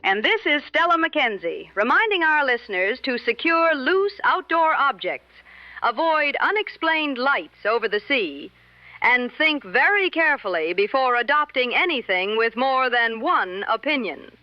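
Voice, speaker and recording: Lady doing a 60s radio cohost, deadpan and practical, lively intonation, slightly dull old radio audio. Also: sits high, a little slow, dry recording. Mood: deadpan, wry. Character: poised, deadpan 1960s woman radio co-host. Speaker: radio co-host. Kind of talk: 1960s radio broadcast acting. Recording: dry, band-limited vintage radio sound.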